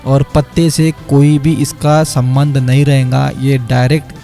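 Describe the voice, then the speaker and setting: A man's voice: mid-range voice, flat delivery, measured pace. A man, a phone repair technician narrating a step-by-step repair tutorial over his work. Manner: explanatory, step by step, addresses viewers as friends. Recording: quiet background music under voice-over. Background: light background music.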